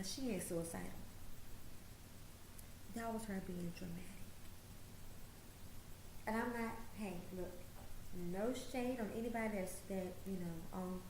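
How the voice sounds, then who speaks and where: A woman's voice: medium pitch, background noise. A woman in her late forties hosting a talk, who lectures emphatically and repeats her points for stress. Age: 40s. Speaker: host. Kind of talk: motivational talk show monologue. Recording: roomy echo, faint ambience.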